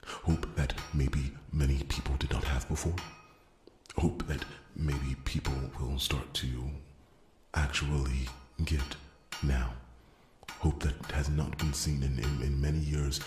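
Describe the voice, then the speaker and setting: A man's voice: a bass voice, level tone, slow pace, roomy sound, background noise. A man voicing an earnest, wordy dwarf loyal to royalty, stammering and repeating himself deferentially in an ASMR fantasy roleplay. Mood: earnest, reverent. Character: rambling, earnest, wounded-pride dwarf smith loyal to royalty.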